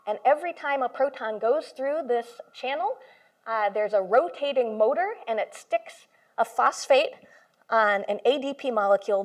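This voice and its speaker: A woman computational biologist giving an informal lecture to a live audience in a room, speaking with enthusiasm and explaining with everyday comparisons. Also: fairly high pitch. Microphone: handheld or stand mic, moved closer at one point.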